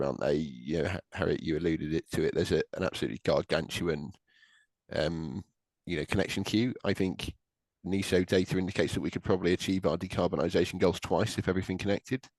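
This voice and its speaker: A young man from Wales, a government policy official on a webinar panel, speaking in a measured, hedging way with many fillers and restarts. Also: sits low, a little mellow, no room sound.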